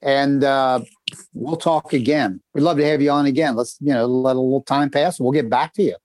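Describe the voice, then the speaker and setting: A man's voice: medium pitch, moderate pace, a little mellow, no echo. A man who is a show regular, talking casually at a live show. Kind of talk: casual chat. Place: live show at a coffee parlor. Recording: live venue with audience noise.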